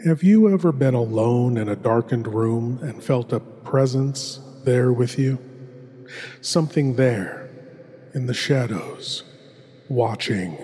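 Man playing a slow, sinister horror host. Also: mid-range voice, a little mellow. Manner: deliberate, dramatic, ominous. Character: sinister, theatrical male horror host lord, savoring menace. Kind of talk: theatrical scripted horror narration. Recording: long reverberant hall echo.

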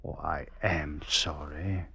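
A man playing a distracted, curt professor with a secret in an old radio drama, halting and stiffly polite. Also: extremely low pitch, fairly even intonation, vocal fry, bright, forceful tone, breathy edge, no echo, heavily acted. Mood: stiffly friendly. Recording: dull, dry vintage radio recording.

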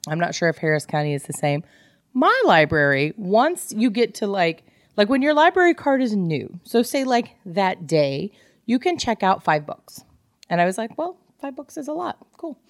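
A woman's voice: medium pitch, big pitch swings, moderate pace, no room sound, clean studio sound. An American woman co-hosting a podcast, chatting casually about books in a blunt, joking, irreverent way. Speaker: podcast co-host. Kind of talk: casual book club chat.

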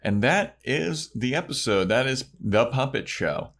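A man's voice: mid-range voice, animated, a little slow, gravelly, fairly bright tone. A man hosting a podcast, chatting casually and informally about a TV show. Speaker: podcast host.

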